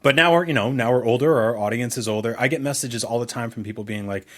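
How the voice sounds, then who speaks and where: A man's voice: mid-range voice, fast, a bit bright. A middle-aged American man with a mid-range voice, co-hosting a laid-back podcast. He talks in rambling, anecdotal runs, loose and conversational. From New Jersey, United States. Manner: rambling, anecdotal, casual swearing. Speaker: podcast co-host. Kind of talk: casual conversational podcast chat.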